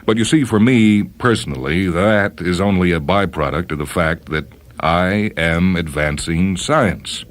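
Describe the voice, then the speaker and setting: A man playing a proud, dismissive male scientist in a story reading, with a formal, self-assured and measured manner. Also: deep voice, pitched above the performer's own voice. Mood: firm, dismissive.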